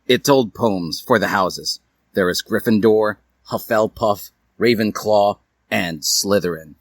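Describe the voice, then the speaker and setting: A man's voice: fairly low pitch, takes it slowly, dry recording. A man reading fan fiction aloud as a theatrical, mock-earnest storyteller.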